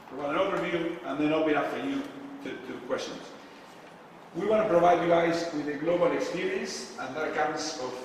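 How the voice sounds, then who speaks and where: A man's voice: on the high side, a little hiss or hum. Man speaking from far off in an echoey hall. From Argentina. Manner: casual, frequent filler pauses, asks the audience questions. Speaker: conference speaker. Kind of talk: live presentation to an audience. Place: event space with a live audience. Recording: echoey hall, distant mic.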